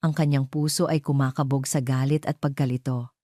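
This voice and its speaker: Low, mellow woman storyteller. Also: fairly even intonation, brisk, dry recording, clean studio sound. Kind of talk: dramatic scripted narration of a romance audio drama.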